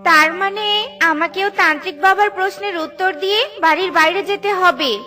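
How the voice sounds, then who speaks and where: A small, plucky cartoon bird girl, voiced by a woman, with a high voice. She is earnest and brave, and light music plays underneath. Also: normal speed, pushed and bright. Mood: worried. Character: plucky little female tailorbird, earnest and brave. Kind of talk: children's cartoon acting.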